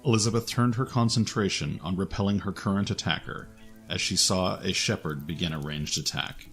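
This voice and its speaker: Really low guy narrating an audio drama, music playing behind. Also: fairly quick, fairly bright tone.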